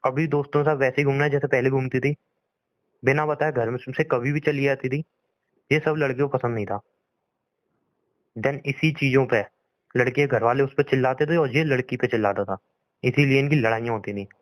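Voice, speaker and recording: A man's voice: mid-range voice, fairly quick, long pauses, no echo, low-quality recording. A young man arguing in a private phone conversation, insistent and pleading, often repeating himself. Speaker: boyfriend on a phone call.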